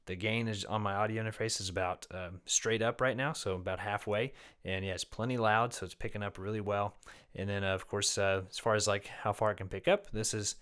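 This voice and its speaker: Low-voiced man, relaxed gear review. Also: a little fast, smooth. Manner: relaxed, rambling with many fillers. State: slightly low voice, getting over an illness. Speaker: music teacher reviewing a microphone. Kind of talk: casual gear review and demo. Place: small, fairly dead room. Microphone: condenser microphone, no pop filter, varying distance.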